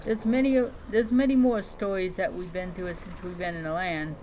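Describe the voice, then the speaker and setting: Woman role-playing over clear background noise. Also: lowish voice, steady tone, normal speed, darkish tone, poor audio. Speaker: streamer role-playing as a dragon rider. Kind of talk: in-character game roleplay storytelling, talking to pet creatures.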